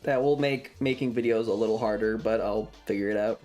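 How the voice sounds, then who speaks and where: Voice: medium pitch, a little fast, darkish tone, faint music behind. A young adult streamer chatting casually to viewers in a hesitant, rambling way that often repeats phrases. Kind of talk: casual livestream chat.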